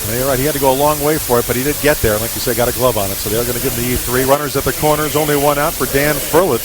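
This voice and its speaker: A man's voice: medium pitch, fairly even intonation, racing, crowd noise, poor audio. A man calling live baseball play-by-play on the radio in clipped phrases that follow the action. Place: live baseball game broadcast. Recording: hissy, static-laden radio broadcast recording.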